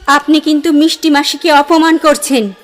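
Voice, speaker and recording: A woman acts out a haughty young devotee in an audio drama. Her voice is high, curt and emphatic, full of protective indignation. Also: flat delivery, normal speed, natural voice. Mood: indignant. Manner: curt, haughty, emphatic, mixing in English phrases.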